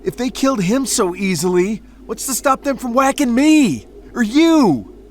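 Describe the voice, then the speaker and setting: A man acting as a wisecracking, excitable hardboiled detective type in a noir audio drama, with slangy tough-guy talk. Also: very high-pitched, measured pace, a little hiss or hum, exaggerated voice. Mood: panicked. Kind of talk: hardboiled noir radio-play narration and dialogue.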